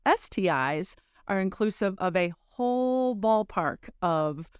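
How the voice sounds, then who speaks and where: Woman doctor, very crisp resonant voice. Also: mid-range voice, lively intonation, no echo, studio quality. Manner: careful, measured, precise. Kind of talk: explanatory podcast talk.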